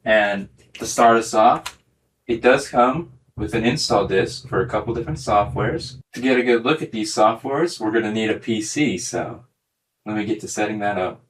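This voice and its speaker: A man with a slightly low voice talks casually as he hosts a hands-on demonstration. The recording carries some faint noise and small clicks behind his words. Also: normal speed. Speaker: host of a tech demonstration video. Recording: clearly noisy recording with clicks.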